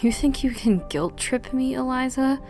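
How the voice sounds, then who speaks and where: A woman's voice: sits high, moderate pace, darkish tone, faint music behind. A woman playing a stern, defensive commander in an amateur anime-style audio drama. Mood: angry, defensive.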